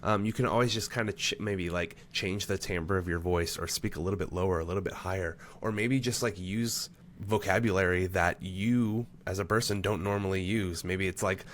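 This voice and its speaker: A man's voice: sits low, steady tone, normal speed, few pauses, fairly bright tone, slight background noise. A man co-hosting a casual tabletop gaming discussion, explaining his point at length with hedges and fillers. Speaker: podcast co-host and player.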